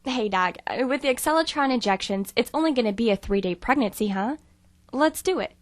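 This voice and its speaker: A woman's voice: fairly high pitch, expressive, a little fast, no room sound, close to an everyday voice. A woman playing an eager, self-assured postdoc lab assistant in a satirical sci-fi audio drama. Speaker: actor.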